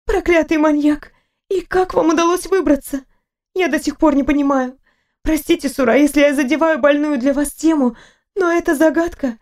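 A woman voicing a fussy, talkative young female nurse with a high, ringing voice. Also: steady tone, normal speed, a little mellow, lightly acted. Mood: chattering.

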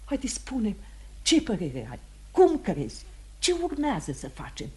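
Proud, devout society mother, radio play: husky, very expressive, genteel and fretful. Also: mid-range voice, full character voice. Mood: anxious, indignant. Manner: genteel, emotional, slips into French. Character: proud, anxious, devout aristocratic mother, rigidly moral. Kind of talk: theatrical radio drama acting.

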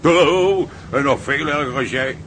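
A man's voice: medium pitch, unhurried, a bit bright, a little hiss or hum, natural voice. A man acting a kindly, seasoned ship's cook in a Dutch radio drama, folksy and reassuring. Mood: comforting. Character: kindly, fatherly old-hand ship's cook.

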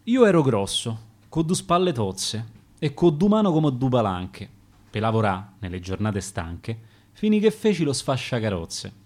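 Man reciting as burly Roman scrapyard worker, expressive. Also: mid-range voice, measured pace. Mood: earthy. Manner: earthy storytelling in verse. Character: burly, hard-working Roman car breaker.

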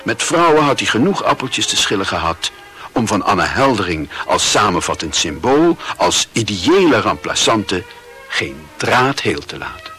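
An actor playing a grave, introspective narrator in a literary radio play. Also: male voice, on the low side, big pitch swings, a little slow, pushed and bright, soft background music, exaggerated voice. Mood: brooding.

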